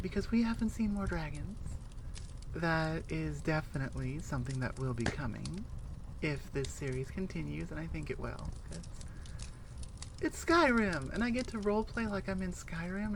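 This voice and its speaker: A woman's voice: low-pitched, lively intonation, moderate pace, a little hiss or hum. A woman who makes audio roleplays chats casually to her listeners in an enthusiastic, playful, rambling way.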